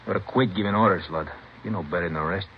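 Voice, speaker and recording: An actor playing a slangy, taunting small-time gangster and drug user in a 1950s radio crime drama. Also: male voice, sits low, fast. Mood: needling. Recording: muffled old radio recording, faint noise.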